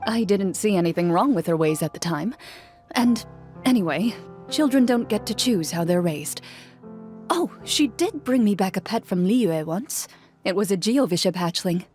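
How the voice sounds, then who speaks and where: A woman voicing a calm, reserved young duelist in an anime-style game, speaking formally and matter-of-factly. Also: mid-range voice, normal speed, soft background music, natural voice.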